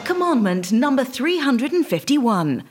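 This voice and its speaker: A woman's voice: high voice, big pitch swings, unhurried, loud music behind the voice. Woman's solemn mock-formal announcement.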